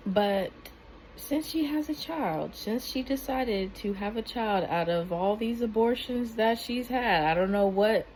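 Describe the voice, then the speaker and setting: A soft-spoken woman, giving earnest casual commentary that rambles with restarts and fillers. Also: a little high, a little slow, slight background noise, poor audio. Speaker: commentary vlogger.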